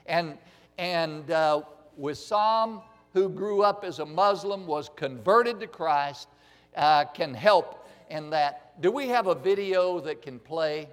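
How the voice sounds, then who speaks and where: A man addressing a church congregation, speaking earnestly and deliberately and often repeating words as he goes. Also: a little high. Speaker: missionary ministry speaker. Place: large church hall. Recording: reverberant church hall.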